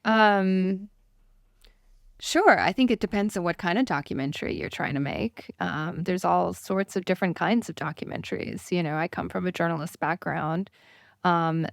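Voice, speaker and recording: A middle-aged woman who grew up in Thailand talks through her answers slowly, with plenty of ums and restarts. Her voice sits a little low and sounds smooth and clear. The recording is dry and close. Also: no room sound. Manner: thoughtful, hesitant with many ums. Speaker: documentary filmmaker guest. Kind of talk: casual interview talk.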